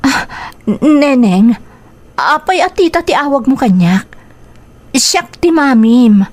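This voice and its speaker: A woman's voice: high-pitched, very animated, slow, darkish tone, heavily acted. A woman playing a hurt, confused mother in a melodramatic radio drama, with an Ilocano accent. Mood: hurt, bewildered. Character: hurt, confused young mother rejected by her own child.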